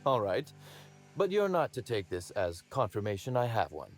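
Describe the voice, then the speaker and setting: An actor playing a wry, polished boss of a secretive outfit in a video game, speaking with formal courtesy. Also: male voice, on the low side, big pitch swings, moderate pace, over music. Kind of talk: conversational video game acting.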